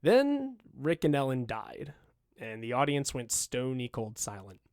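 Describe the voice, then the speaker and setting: A man voicing an animated film director who recalls events with emphatic, dramatic pauses, as in an interview. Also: mid-range voice. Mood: animated, rueful. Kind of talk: acted reading of interview quotes.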